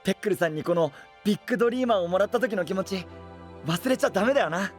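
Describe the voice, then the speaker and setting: A boyish dreamer of a knight, earnest and eager. His youthful voice is casual and very expressive, moving up and down freely, with faint music underneath. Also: sits high, very fast. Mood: downcast, reflective. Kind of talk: anime voice drama acting.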